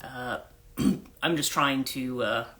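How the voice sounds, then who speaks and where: A woman chats casually to her livestream viewers, greeting them as they join. Her voice is husky and congested from allergies, with a bright, forward edge that cuts through. She rambles gently with many fillers and keeps clearing her throat. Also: fairly even intonation, takes it slowly. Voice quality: husky, a register lower than usual. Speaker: life coach hosting a livestream. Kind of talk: casual morning livestream chat.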